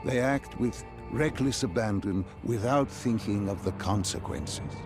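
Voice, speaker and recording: An actor playing a solemn, philosophical male guide in a video game, probing and insistent, with formal, deliberate delivery. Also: on the low side, music in the background, natural voice. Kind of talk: dramatic scripted game dialogue.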